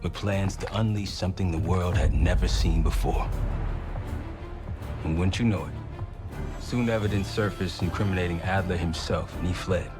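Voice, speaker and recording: Guy playing weary male spy doing mission log narration, real low voice, hard-boiled, loud score behind. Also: moderate pace, natural voice. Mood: grave, reflective. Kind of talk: scripted cinematic narration. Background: loud music.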